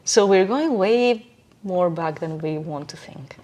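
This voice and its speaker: A woman talks as a guest in a casual interview. Her voice sits a little low for a woman. She explains her points with emphasis and states her opinions plainly. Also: lively intonation, a little slow, a little mellow. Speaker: podcast guest.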